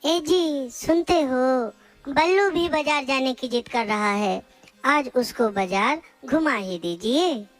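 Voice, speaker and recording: Woman as a high-voiced coaxing cartoon mother. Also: takes it slowly, faint music behind. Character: coaxing village wife and indulgent mother. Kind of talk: children's cartoon story acting.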